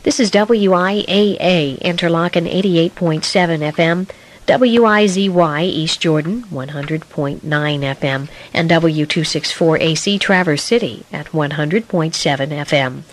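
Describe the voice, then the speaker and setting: A woman's voice: low voice, measured pace. A woman announcer reading a scripted radio station identification.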